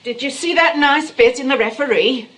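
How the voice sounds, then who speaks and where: An actress playing a familiar, chatty, unceremonious housekeeper in a period comedy, with a homely manner. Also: female voice, high voice, moderate pace, a bit bright, poor audio. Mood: cosy. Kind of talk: period stage comedy radio acting.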